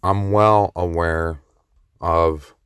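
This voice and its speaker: A man's voice: low-pitched, fairly even intonation, extremely slow, clean tone, no echo, studio quality. A man speaking alone on the mic in a rambling monologue, boastful and playfully cocky, with a meandering delivery. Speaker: solo vlogger on the mic. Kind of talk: rambling self-recorded monologue.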